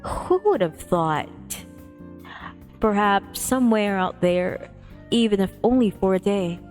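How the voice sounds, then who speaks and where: A woman's voice: mid-range voice, slow pace, a little mellow. A woman livestreamer reading a visual novel aloud expressively, with playful casual asides. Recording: music behind the voice. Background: music.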